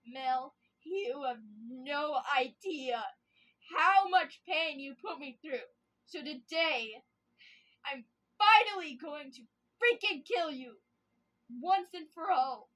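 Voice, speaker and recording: A woman's voice: on the high side, lively intonation, moderate pace, hardly any pauses, a bit bright, husky, poor audio. A woman voicing a volatile seventeen-year-old girl, mocking one moment and sobbing the next. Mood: vengeful. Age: teens. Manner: swings between mocking and sobbing.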